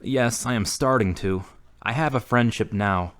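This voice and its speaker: A man's voice: medium pitch, a little slow, a bit bright, clean tone, lightly acted. A man acting a brooding, earnest, troubled character in an audio drama. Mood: troubled, confiding.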